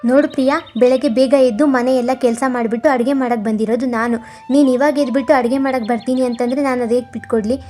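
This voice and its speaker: A woman voicing a jealous, scheming young wife in a cartoon moral story, nagging and goading in a sharp, complaining manner. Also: sits high, fairly even intonation, fast talker, lightly acted. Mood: indignant.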